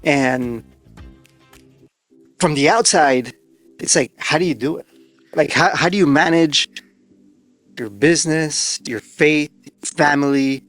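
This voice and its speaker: A man's voice: on the high side, takes it slowly, long pauses, a bit bright, no room sound, soft background music. A man hosting a casual interview, talking in an effusive, informal way. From born in Argentina, raised in the US. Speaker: podcast host.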